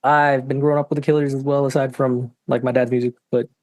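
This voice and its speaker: A young man, a singer-songwriter guest in a podcast interview, talking in a soft-spoken, hesitant way with lots of "like". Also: medium pitch, level tone, fast talker, no room sound. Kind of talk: casual interview chat.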